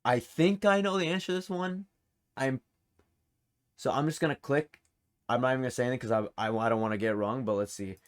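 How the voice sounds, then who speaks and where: A man's voice: medium pitch, fast talker, long pauses. Guy hosting a game quiz, chatty and informal, normal mid voice, cheering the guest on. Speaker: quiz show host. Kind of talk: casual chat hosting a gaming quiz.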